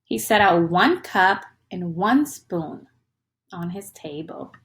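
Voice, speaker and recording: Slow, very expressive woman reading to children. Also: lowish voice. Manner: expressive, teacherly, asks the listener questions. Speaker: storyteller reading a picture book to young children. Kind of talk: interactive children's read-aloud.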